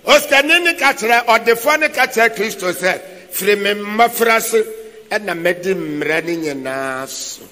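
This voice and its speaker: A man's voice: high voice, expressive, unhurried, sharp tone. An older man preaching in a large church hall, speaking emphatically in short bursts for an interpreter. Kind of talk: sermon with live interpreter. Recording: long hall reverb.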